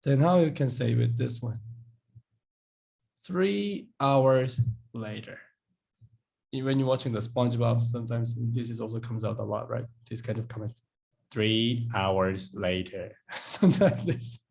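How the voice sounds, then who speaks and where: Man, language teacher, online class, unhurried delivery, gravelly edge. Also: medium pitch. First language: Korean. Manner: lively, explains patiently, repeats Korean words slowly, acts out short dialogues.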